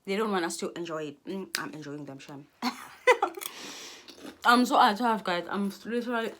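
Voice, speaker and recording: A woman's voice: mid-range voice, measured pace, a bit bright, slight background noise. A Xhosa-speaking South African woman in her twenties, talking to camera in a rambling, earnest monologue full of restarts. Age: 20s. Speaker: vlogger.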